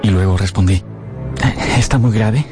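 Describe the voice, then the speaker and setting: An actor plays a sincere, lovestruck young man in a radio drama, recounting his story in measured, literary phrases. His voice sits on the low side, with music clearly playing behind it. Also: lightly acted. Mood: solemn narrating. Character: Efraín, earnest young lovestruck son narrating his past. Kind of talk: radio drama narration and acting.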